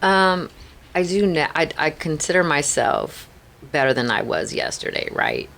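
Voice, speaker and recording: Gravelly-voiced Chicana woman telling stories. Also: sits low, wildly swooping pitch, unhurried, a bit bright. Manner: earnest, frequent "like" and "right?". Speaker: podcast guest. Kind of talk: candid storytelling interview.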